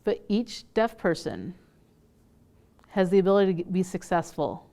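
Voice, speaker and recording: A woman's voice: fairly low pitch, sing-song, measured pace, warm tone. A woman interpreting in the first person for a deaf man in a news interview.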